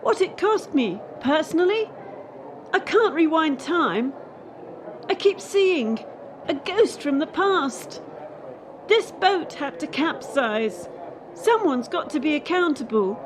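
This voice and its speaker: Woman acting young female athlete, high voice, animated delivery, bitter and defiant, radio drama, faint background noise. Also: slow pace, heavily acted. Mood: solemn, resolute. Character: bitter, defiant young female elite gymnast under pressure.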